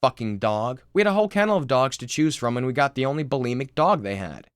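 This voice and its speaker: Man playing a blustering middle-aged dad, animated pitch. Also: fast, smooth, dry recording, studio quality, close to an everyday voice. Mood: exasperated. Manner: boastful, blustering, exasperated. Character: brash, self-obsessed fitness-mad father in mid-forties.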